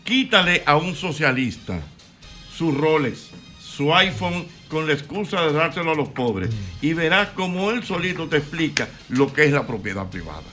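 A Dominican man with a very bright, forceful voice, pitched fairly high. He hosts a casual radio chat show, with faint music underneath. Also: lively intonation, normal speed.

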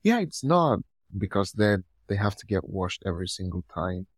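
A man's voice: deep voice, big pitch swings, moderate pace, no echo, studio quality. A man from Nigeria with a Nigerian accent, talking casually as co-host of a podcast. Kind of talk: casual conversation.

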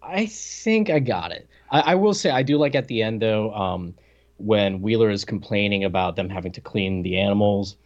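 A man's voice: fairly low pitch, expressive, moderate pace. A man co-hosting a podcast in casual banter, with a wry, joking manner, on a slightly dull, lo-fi recording. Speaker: podcast co-host.

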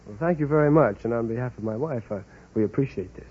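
A man's voice: mid-range voice, quick pace, slightly rough. A man from New York City answering a quiz host's questions in a polite, earnest, slightly formal way. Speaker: quiz show contestant, bail bondsman. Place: radio show stage. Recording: muffled old-time radio recording.

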